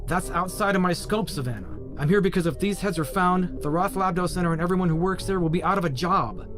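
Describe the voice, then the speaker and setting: A man acts out an eccentric scientist in an audio drama, anxious and earnest, with a high-pitched voice for a man. Clear music runs underneath. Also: quick pace, natural voice. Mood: earnest, bitter. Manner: earnest, uneasy.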